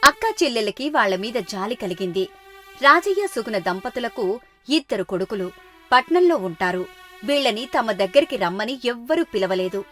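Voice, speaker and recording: A woman's voice: fairly high pitch, animated, moderate pace, fairly bright tone, faint music behind. A woman reading a scripted moral story as its narrator.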